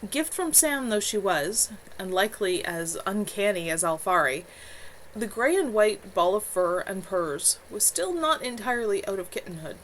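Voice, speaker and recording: A woman author reading her novel aloud live from home in a measured storytelling manner. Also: medium pitch, lively intonation, a bit bright, slight background noise, low-quality recording. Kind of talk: live read-aloud narration.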